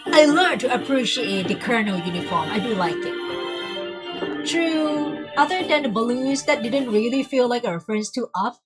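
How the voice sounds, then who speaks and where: Chatty young woman streamer, lively pitch. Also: normal speed, husky, soft background music. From Thailand. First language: not English. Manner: rambling, filler-heavy, frequent restarts. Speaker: game streamer. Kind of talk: casual livestream commentary.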